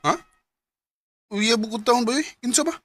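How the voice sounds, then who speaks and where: A man's voice: extremely high pitch, normal speed, loud music behind the voice. A man playing a cocky, easily flustered young student in a radio drama, with a Cebuano Filipino accent. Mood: surprised, defensive.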